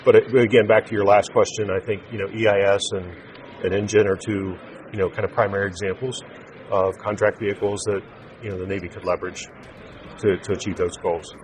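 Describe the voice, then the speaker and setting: A low-pitched man answers interview questions in a measured, corporate way. He speaks off the cuff, explaining things step by step. There is clear urban background noise around him. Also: little pitch movement. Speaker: interview guest, telecom sales executive. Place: busy naval trade show event.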